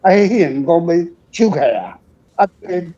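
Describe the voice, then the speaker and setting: Husky older Taiwanese man, higher-pitched. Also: animated, normal speed, dark tone. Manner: rambling, frank, self-deprecating. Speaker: author, guest on a radio show. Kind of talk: casual reflective book talk. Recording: dull lo-fi recording.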